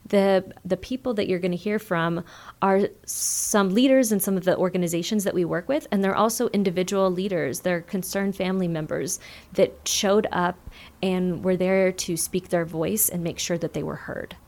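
A woman's voice: fairly low pitch, normal speed, a little mellow. A woman community organizer speaking earnestly as a radio interview guest.

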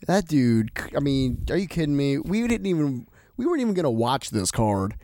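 A man's voice: a little high, sing-song, moderate pace, dark tone. A man co-hosting a podcast, chatting casually and arguing in a joking, rambling way while getting over being sick. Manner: joking, argumentative, rambling with fillers. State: recovering from illness, nosebleed. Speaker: podcast co-host. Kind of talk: casual chat. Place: home room, sitting on the floor.

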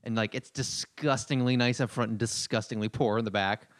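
A man guest on a comedy podcast, offering wry, low-key asides. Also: fairly even intonation, fairly quick, no room sound, clean studio sound. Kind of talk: casual comedy chat.

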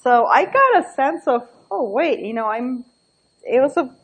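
Middle-aged woman, slightly high voice, animated academic speaker who thinks out loud. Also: measured pace, husky, poor audio. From Turkey. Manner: animated, laughing asides, thinking aloud. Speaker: invited book talk speaker. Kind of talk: academic talk with anecdotes.

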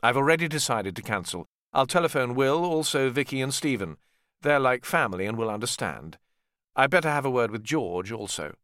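A male narrator plays an authoritative elder brother in a family saga audiobook. The voice is mid-range with lively inflection. He is calm, measured and sure of himself. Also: mid-range voice, dry recording, studio quality, natural voice. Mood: matter-of-fact. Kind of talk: audiobook character dialogue.

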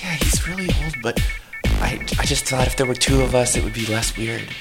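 A meek young sorority student played by a woman with a very deep voice for a woman. She is awkward, hesitant and apologetic in a radio comedy scene. Loud electronic music fills the background. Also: animated, brisk. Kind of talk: improvised comedy radio theater.

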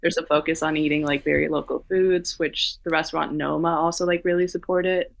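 A woman, from Denmark, co-founder of a consultancy, answering interview questions in a relaxed way full of "like" fillers. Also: low-pitched, fairly quick, creaky, dry recording.

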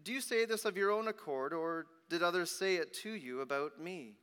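A man voicing a calm, resolute holy prisoner in a scripture reading, with measured, solemn declarations, in an echoing hall. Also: high-pitched, clean tone. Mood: earnest. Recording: long reverberant hall echo.

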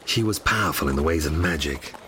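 An actor playing a sly, brooding fallen demon in an audio drama, menacing and sardonic. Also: male voice, a bass voice, fast, noisy background. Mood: irritated, sardonic.

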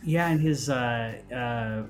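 A man's voice: mid-range voice, takes it slowly, faint music behind. A man talking casually as a podcast host, rambling and often restarting his phrases. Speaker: podcast host on a gaming news show. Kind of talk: casual conversational chat.

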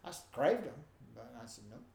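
An older man with a nasal voice, telling stories in an animated way as a guest in a conversation. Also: mid-range voice, brisk, gravelly. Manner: animated, acts out quoted voices. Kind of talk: storytelling in a conversation. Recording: roomy with faint ambience.

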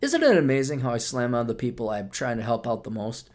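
A man hosting his own podcast and talking straight to his listeners in a mid-pitched voice. His delivery is sardonic and profane, full of mocking asides. He swings from low, muttered remarks to loud, hectoring jabs. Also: wildly swooping pitch, quick pace. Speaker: podcast host. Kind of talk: solo podcast monologue plugging books.

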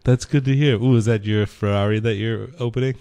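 A man's voice: lowish voice, steady tone, brisk, warm tone, clear, resonant tone, no echo. A man co-hosting a comedic radio show, playfully reacting to callers. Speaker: radio host.

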